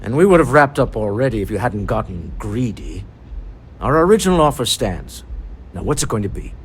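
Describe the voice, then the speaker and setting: Suave low-voiced corporate executive. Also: male voice, big pitch swings, fairly quick, a little hiss or hum. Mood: smooth, firm. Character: suave, wealthy corporate executive, unflappable and wry negotiator. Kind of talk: video game dialogue acting.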